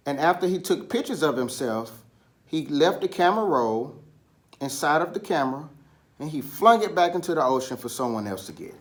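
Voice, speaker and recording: A man's voice: fairly high pitch, sing-song, normal speed. A man reading and retelling stories for children, speaking to them directly and asking them questions. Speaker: children's story reader. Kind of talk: storytelling read-aloud for children.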